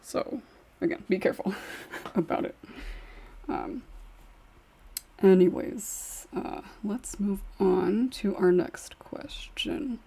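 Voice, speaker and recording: A woman moderating a casual podcast chat as host, speaking with a husky, gravelly voice. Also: medium pitch, slow, pauses often, mellow.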